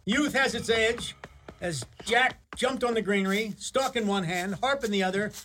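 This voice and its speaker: High-voiced male storyteller, theatrical and wry. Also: moderate pace, bright, forceful tone, soft background music. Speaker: narrator. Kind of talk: dramatic storybook narration. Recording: clearly noisy background.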